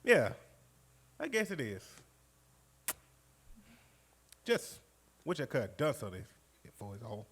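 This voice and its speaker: An actor playing a playful, loving working-class husband in a live radio play. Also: male voice, mid-range voice, wildly swooping pitch, moderate pace, fairly bright tone, slightly rough, full character voice. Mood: wistful, tender. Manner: exuberant, folksy. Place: live stage in a recreation center.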